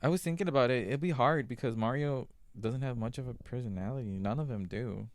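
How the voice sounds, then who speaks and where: A man's voice: mid-range voice, a little fast, no echo. Man in casual chat, dry close sound. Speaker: podcast co-host. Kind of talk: casual banter among friends.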